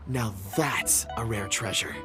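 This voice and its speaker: A man's voice: lowish voice, a little slow, over music, lightly acted. An actor playing a genial, forgetful male rock enthusiast in a video game, courteous, theatrical and eager.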